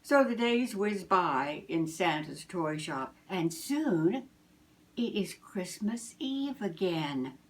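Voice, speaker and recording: An older woman, a grandmother, reading a children's storybook aloud in a deliberate, expressive way. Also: lowish voice.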